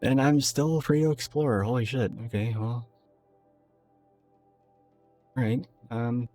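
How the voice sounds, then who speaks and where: A tired, hoarse man streaming a game, rambling in loose casual talk and swearing freely. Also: medium pitch, brisk, dark tone, faint music behind. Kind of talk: casual live-stream chat.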